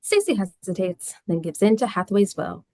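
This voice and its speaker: Creaky-voiced woman narrating a script. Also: medium pitch, very animated, normal speed, mellow, dry recording. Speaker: stage-direction narrator.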